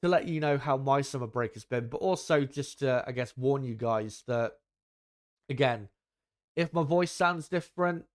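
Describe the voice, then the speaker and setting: Young guy rambling to his stream chat, deepish voice, lots of ums, mic on the desk. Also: normal speed. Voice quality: voice deeper than it used to be. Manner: rambling, frequent ums and asides to viewers. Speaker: livestream host. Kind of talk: casual live commentary chat.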